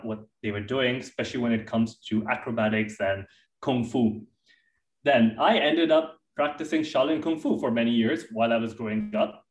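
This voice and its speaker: Distant, roomy-sounding male podcast host. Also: medium pitch. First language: Hindi. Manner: enthusiastic, long winding questions. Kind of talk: interview podcast. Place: Dubai. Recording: roomy echo, distant from mic.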